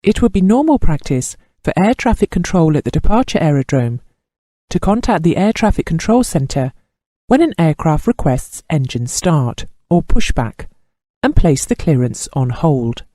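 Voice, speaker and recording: Male narrator, scripted lesson, formal measured delivery, animated intonation, very dry close sound. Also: fairly high pitch, no echo. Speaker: instructor narrator.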